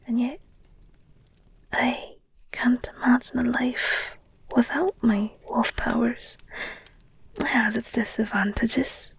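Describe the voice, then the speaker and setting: A woman playing an affectionate, candid werewolf girlfriend in an intimate ASMR audio roleplay, speaking tenderly and hesitantly in a breathy whisper. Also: a little high. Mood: wistful. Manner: slow, tender, hesitant, confiding. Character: affectionate werewolf girlfriend, gentle, candid, wistful about her past.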